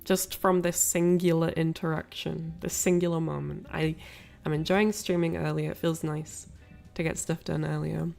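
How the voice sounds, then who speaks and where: A woman's voice: low-pitched, level tone, moderate pace, gravelly, a little mellow, faint music behind. A woman hosting a casual livestream, rambling and apologetic, sniffly with a cold as she chats to viewers. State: ill with a cold, sniffly, coughing. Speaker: livestream host.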